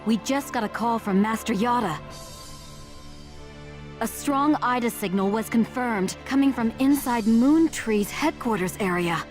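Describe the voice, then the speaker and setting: Woman playing a serious young game ally, slightly high, measured, music behind. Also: close to an everyday voice. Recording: game cutscene with music underneath.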